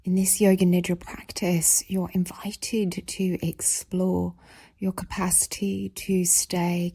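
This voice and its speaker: A woman guiding a yoga nidra meditation, speaking softly and soothingly in a breathy voice with long pauses between phrases. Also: lowish voice, no room sound. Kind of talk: guided meditation narration.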